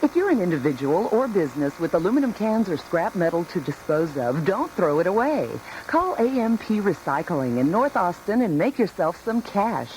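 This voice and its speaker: Lady reading a local radio ad, very lively delivery, bit of tape noise. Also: on the low side, moderate pace, hardly any pauses. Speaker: radio ad announcer. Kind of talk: scripted local business commercial. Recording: slightly noisy taped radio ad.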